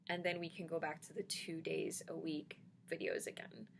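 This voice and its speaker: Low-voiced woman vlogger, chatty and reassuring. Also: level tone, moderate pace, creaky, a little hiss or hum. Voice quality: slight lisp. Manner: chatty, reassuring, frequent ums. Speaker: denture vlogger answering comments. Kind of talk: casual vlog chat.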